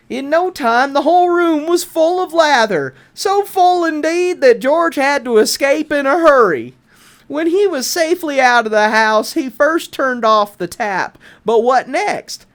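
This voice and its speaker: A woman reading a children's picture book aloud as an expressive bedtime story, drawing out words for emphasis. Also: fairly high pitch. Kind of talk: animated read-aloud bedtime story narration.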